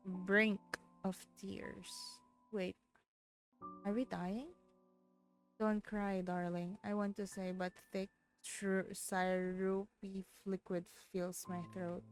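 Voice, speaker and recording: A woman streaming a game, reading its text aloud with stumbles and breaking off to exclaim in reaction. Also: medium pitch. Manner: exclamatory, stumbles over read words. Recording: game music behind the voice. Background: soft game music.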